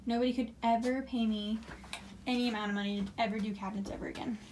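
A woman's voice: a little high, fairly even intonation, fast, a little mellow, a little hiss or hum, rough recording. A young woman co-hosting a van build vlog, talking casually and chatty, often exasperated. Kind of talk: casual chat. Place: small living room, indoors.

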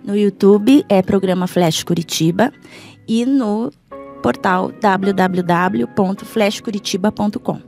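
A woman's voice: medium pitch, measured pace, gravelly, darkish tone. A middle-aged woman, chatting as a guest in a radio interview. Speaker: interview guest, blogger and video presenter. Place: small foam-padded radio studio. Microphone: studio microphone. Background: faint music.

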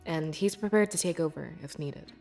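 A woman playing a young, devoted beast-folk scribe in a fantasy video game, with earnest, measured and expressive delivery. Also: low-pitched, creaky, soft background music. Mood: explanatory, friendly. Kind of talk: scripted video game character dialogue.

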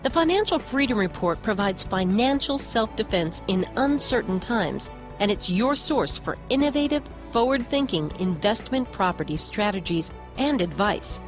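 A woman's voice: mid-range voice, lively intonation, moderate pace. A woman reading a scripted promotional voice-over as an announcer. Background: music.

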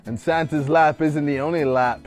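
A man's voice: fairly high pitch, moderate pace, soft background music. A man in his twenties on a dating show, delivering a cheeky pickup line. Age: 20s. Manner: cheeky, boastful. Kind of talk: cheesy pickup lines.

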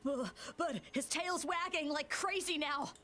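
A woman's voice: high voice, measured pace, sharp tone, slight background noise. An actress playing a cautious, anxious bandit underling in a video game, fawning on her boss. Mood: nervous, panicky.

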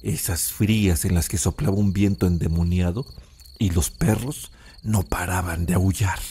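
An actor playing a gentle old Mexican village grandfather who soothingly tells ghost legends in an audio drama. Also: low-pitched, fairly even intonation, moderate pace, slight background noise. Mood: nostalgic storytelling. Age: older.

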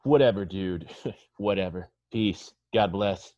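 A man's voice: sits low, a little slow, warm tone, dry recording. A man playing a cocky, laid-back hippie male model with a slangy, dismissive drawl in an amateur comedy table read on a video call. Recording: dull, dry video-call sound.

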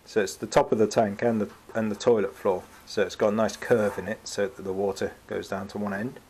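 A man's voice: fairly low pitch, level tone, brisk, darkish tone, echoey room, far from the mic, a little hiss or hum. A man in his thirties with the flu, casually explaining his boat build while working in a cold garage workshop. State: has the flu.

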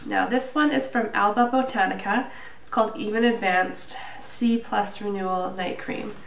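A woman's voice: medium pitch, steady tone, moderate pace, creaky. Congested, nasal woman in a roomy room. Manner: chatty, with fillers and small laughs. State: a little out of breath. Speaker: beauty vlogger. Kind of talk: casual product review to camera. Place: small indoor room, plain wall. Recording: roomy, slightly distant with faint hiss.

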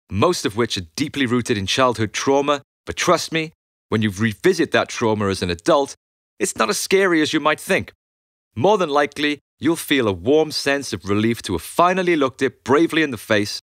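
A man reads his own memoir as an audiobook, with an animated, widely moving voice. His delivery is conversational and blunt, with firm emphasis on his points. The sound is dry and close, free of room echo. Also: medium pitch. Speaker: author and musician reading own audiobook. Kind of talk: audiobook narration of a candid memoir.

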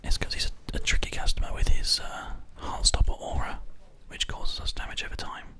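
A man whispers gently and without hurry into a close binaural microphone, commentating on gameplay as an ASMR host. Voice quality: unvoiced whisper. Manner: gentle, unhurried, measured. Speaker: host of an ASMR gaming show. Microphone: close binaural microphone, ear to ear.

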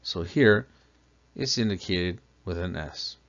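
A man's voice: low voice, slow pace, a bit bright. A man teaching a plumbing lesson, patiently explaining step by step and asking the listener questions. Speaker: plumbing instructor. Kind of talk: slideshow lesson narration. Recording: dull lo-fi voice-over.

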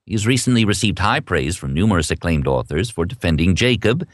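Very deep-voiced man, animated interviewer. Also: a little fast, dry recording, clean studio sound. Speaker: interviewer, audiobook narrator. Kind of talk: hosted author interview.

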